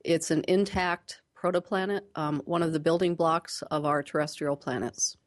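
A woman speaking as a scientist guest in a radio interview, explaining things plainly and in a measured way, pausing to choose her words. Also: sits low, fairly even intonation.

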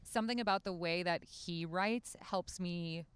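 A woman's voice: lowish voice, unhurried, clean tone, no room sound. A woman talking casually as a podcast guest outdoors in a shaded backyard. She rambles with lots of "like" and restarts her sentences.

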